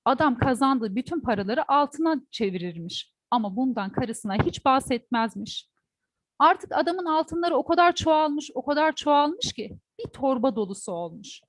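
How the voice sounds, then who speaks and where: A woman's voice: high voice, lively intonation, normal speed, dry recording, poor audio. A woman storyteller telling a folk tale expressively, putting questions to her listeners. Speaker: teacher.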